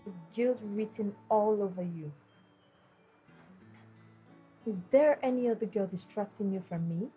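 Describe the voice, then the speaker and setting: A woman plays a young girlfriend who will not let a suspicion go. Her voice has a mellow, darker tone. She presses with repeated questions, with faint music behind her. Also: mid-range voice, moderate pace, lo-fi sound, natural voice. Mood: accusing.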